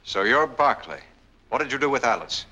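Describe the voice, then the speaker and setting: A man's voice: mid-range voice, lively intonation, normal speed, sharp tone, breathy edge, poor audio. An actor playing a suspicious, insistent young man in a 1940s film noir, speaking bluntly and with disbelief. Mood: angry, demanding. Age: young adult. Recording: old 1940s film soundtrack.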